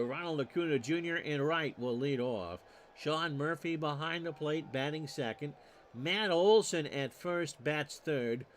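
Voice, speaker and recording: A middle-aged man hosting and calling a simulated baseball game, folksy and quick to correct and joke about his own slips. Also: a little high, slight background noise. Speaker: host and play-by-play caller of a simulated baseball replay. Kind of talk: solo tabletop sports simulation commentary streamed live.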